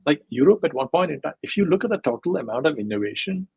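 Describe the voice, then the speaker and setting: Gravelly husky man, rambling. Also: on the high side, sing-song, fast, no echo, phone-quality sound. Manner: digressive, filler-heavy, emphatic. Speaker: guest, crypto scientist. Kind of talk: casual interview talk.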